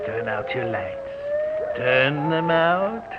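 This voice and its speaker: A man playing a creepy old hermit who tells ghost stories in a drawn-out, theatrical, cackling way for radio horror. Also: a little high, very animated. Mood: gleefully sinister. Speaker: horror show narrator. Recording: noisy, muffled old radio recording.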